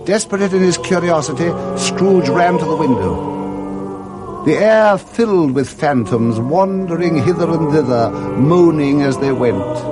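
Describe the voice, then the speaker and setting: A man narrating a classic story for 1960s radio in a measured, theatrical storytelling manner. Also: fairly high pitch. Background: music.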